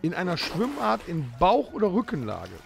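A man's voice: high voice, wildly swooping pitch, normal speed, gravelly. A middle-aged man co-hosting a podcast, explaining things to friends in casual banter. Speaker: podcast co-host.

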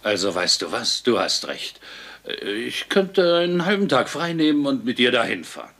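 A man's voice: mid-range voice, big pitch swings, normal speed, fairly bright tone. A man playing a middle-aged husband and father in a dubbed sitcom, teasing yet supportive. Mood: earnest. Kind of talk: German-dubbed sitcom dialogue. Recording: clearly noisy background.

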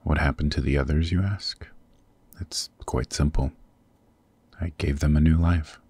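A man voicing a possessive, protective demon king in a husky, very deep voice, formal and intimate, in an ASMR roleplay. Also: fairly even intonation, unhurried, a little mellow, no echo, full character voice. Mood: gentle, reassuring. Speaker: voice actor.

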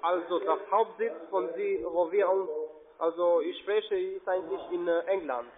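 A man with a foreign accent giving a hesitant, repetitive sales pitch by phone as a call center agent. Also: normal speed. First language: not German. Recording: tinny narrow phone line.

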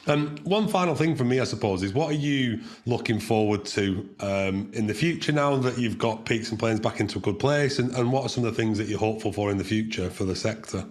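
A man's voice: on the low side, fairly quick, hardly any pauses. A man in his thirties hosting a podcast, interviewing conversationally over a video call. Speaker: podcast host.

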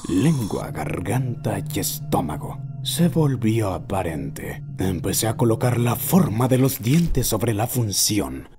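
A man voicing an obsessive old man who recounts his lifelong fixation in a solemn, deliberate horror narration. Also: on the low side, big pitch swings, warm tone, soft background music. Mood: brooding, solemn. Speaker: amateur narrator of a horror short. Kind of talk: scripted first-person horror narration.

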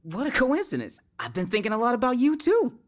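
A man's voice: very high voice, wildly swooping pitch, a little fast, exaggerated voice. An actor playing a sweet, earnest young churchgoing man in an audio drama, openly emotional. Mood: eager, affectionate.

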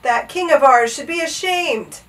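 A woman's voice: high voice, measured pace, a bit bright, distant mic, pitched above the performer's own voice. A woman voicing a bumbling, flustered royal trumpeter, a plaintive man in a storybook. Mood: indignant, flustered. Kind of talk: animated storybook character voice.

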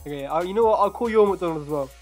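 A man's voice: sits high, very fast, over music. A young man chatting casually and making prank calls as host from a bedroom. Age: young adult. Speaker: prank call video host. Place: small bedroom, indoors.

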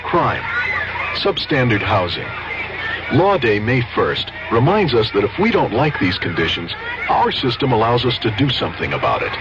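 A man's voice: medium pitch, huge pitch swings, moderate pace, a crowd in the background. A man reading a scripted 1970s public service announcement on the radio. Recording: dull, very noisy old radio recording.

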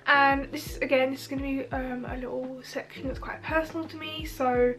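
High-voiced young woman with a stuffy nose. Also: fairly even intonation, fairly quick, rough recording. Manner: chatty, gushing, many fillers. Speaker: YouTuber. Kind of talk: casual game recommendations. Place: bedroom. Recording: music under the voice. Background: soft background music.

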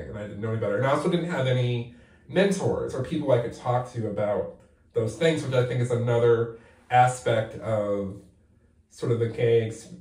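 A man's voice: on the low side, darkish tone. A man in his forties, a playwright being interviewed, talking in a thoughtful, reflective way with lots of "like" fillers while sitting in a bathtub. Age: 40s. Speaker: guest playwright. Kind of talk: candid interview talk. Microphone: far camera. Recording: echoey bathroom, mic at a distance.

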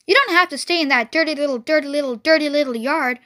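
A woman's voice: extremely high pitch, sing-song, unhurried, a bit bright, voice pushed up, exaggerated voice. Woman doing a chattering farm goose. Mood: urging. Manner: repeats her words three times. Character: chattering fast-talking female farm goose.

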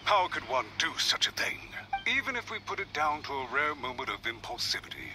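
A man's voice: a little high, very animated, a little fast, noisy background. An actor playing a scheming male clan official, speaking in a haughty, emphatic and scornful way in a video game. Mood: haughty, defensive.

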